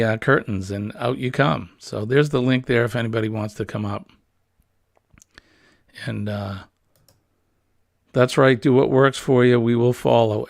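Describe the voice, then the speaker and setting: Man, livestream host; husky, smoky, a bit gravelly; easygoing and rambling with many fillers; dry, close computer-mic sound. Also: medium pitch, no room sound. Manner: relaxed, rambling, frequent ums and uhs. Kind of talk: casual livestream chat reading viewer comments. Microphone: built-in computer webcam mic.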